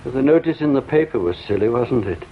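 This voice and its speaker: Over a narrow, noisy phone line comes a rueful, well-educated gentleman in a radio play. His mid-pitched voice is reflective and courteous, with a resigned, self-mocking turn. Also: animated, brisk. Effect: telephone filter. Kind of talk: radio drama acting. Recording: thin phone-line sound with faint noise.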